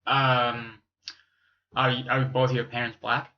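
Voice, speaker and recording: A man's voice: medium pitch, flat delivery, slow, dull audio. A man from Romania who grew up in the US, hosting a podcast interview in casual, slangy, curious chat. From born in Romania, raised in the US from age eight. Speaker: podcast host interviewing a guest.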